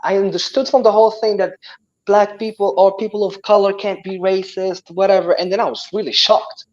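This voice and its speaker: A young man with a Moroccan accent, a Tamazight speaker, chatting as a guest, animated and full of restarts and repeated words. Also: high voice, a little fast, muffled recording. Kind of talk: casual chat.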